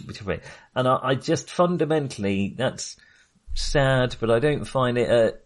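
A man co-hosting a podcast in casual film discussion, hesitant and often correcting himself as he qualifies his opinions. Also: medium pitch. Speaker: podcast co-host.

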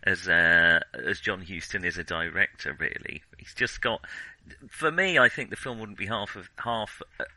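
A man's voice: deep voice, normal speed, pushed and bright, dry recording. A man co-hosting a film podcast in casual discussion, meandering and correcting himself as he feels his way through his thoughts. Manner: hesitant, meandering, self-correcting.

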